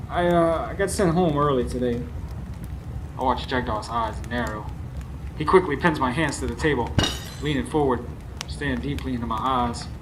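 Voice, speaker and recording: A man's voice: medium pitch, close to an everyday voice. A man as wry radio drama hero, noisy recording. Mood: nervous, startled. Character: narrator hero, nervous, skeptical, unschooled young working man. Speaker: narrator and lead character. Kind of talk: first-person radio drama narration and dialogue. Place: stage before a live studio audience. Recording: live stage recording with clear background noise.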